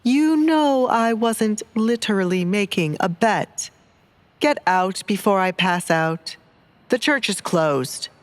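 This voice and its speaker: A woman voicing an exasperated, flustered male priest in an audio drama, speaking in a deliberate, protesting manner. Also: medium pitch, expressive, pitched above the performer's own voice. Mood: accusing, weary.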